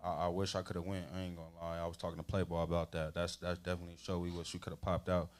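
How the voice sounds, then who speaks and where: A man's voice: low-pitched, completely flat delivery, very fast. A man who breeds dogs, talking in a casual livestream chat, laid-back and slangy. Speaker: dog breeder guest.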